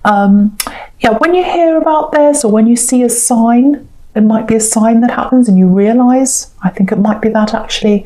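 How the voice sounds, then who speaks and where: A woman's voice: on the high side, warm tone, rough recording. A woman reading tarot cards off the cuff, speaking directly to the listener in a hesitant, reflective way and repeating phrases for emphasis. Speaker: tarot reader, host. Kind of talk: intuitive tarot reading, unscripted talk to the viewer.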